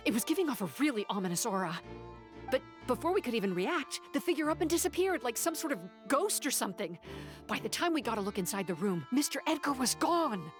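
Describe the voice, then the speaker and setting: A woman's voice: fairly high pitch, big pitch swings, fast talker, over music. An actress playing a flustered, earnest young woman in an anime game, speaking with urgency. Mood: alarmed.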